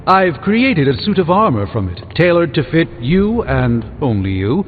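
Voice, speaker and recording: An actor playing a pompous middle-aged sorcerer in a video game, speaking formally and precisely with dry, deadpan delivery. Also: male voice, fairly high pitch, normal speed, slight background noise. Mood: haughty, pleased. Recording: muffled phone-like audio under loud music.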